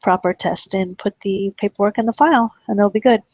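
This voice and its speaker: A woman co-hosting a training webinar who is losing her voice, chatting casually and adding quick asides. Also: mid-range voice, a little mellow, dry recording, highs cut off. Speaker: webinar co-host.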